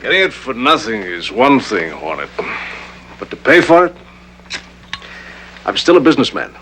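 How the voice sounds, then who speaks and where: An actor playing a ruthless, irritable gangster boss in a 1960s TV crime drama, shrewd and distrustful, with a curt, commanding way of talking. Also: male voice, a little high, wildly swooping pitch, normal speed, vocal fry, a bit bright, a little hiss or hum, exaggerated voice, rough recording. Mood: wary, cold.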